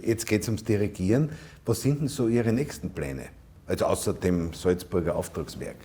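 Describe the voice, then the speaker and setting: A man's voice: on the low side, normal speed, mellow. A man hosting an interview who asks long, hesitant questions full of fillers and keeps murmuring agreement. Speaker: interview host.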